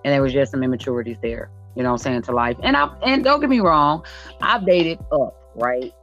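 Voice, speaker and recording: Low-voiced woman, blunt podcast talk. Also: sing-song, brisk, fairly bright tone. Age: late 40s. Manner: blunt, emphatic, repeats points for emphasis. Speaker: podcast host. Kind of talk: casual conversation. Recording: dull lo-fi audio, music behind.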